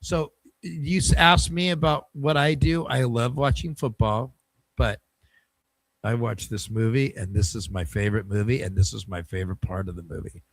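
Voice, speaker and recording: A man's voice: medium pitch, steady tone, normal speed, dry recording, rough recording. A man hosting a sports podcast, chatting loosely and signing off for the holidays. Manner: loose, rambling and friendly. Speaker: podcast host. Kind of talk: casual sports show chat.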